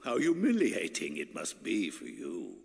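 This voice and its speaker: A sly, arrogant old sorcerer from a dark video game. He mocks in a fairly low, creaky voice with highly varied intonation, savouring each condescending phrase. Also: huge pitch swings, unhurried, long reverb tail, exaggerated voice. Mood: mocking. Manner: sneering, theatrical, condescending. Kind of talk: dramatic video game acting.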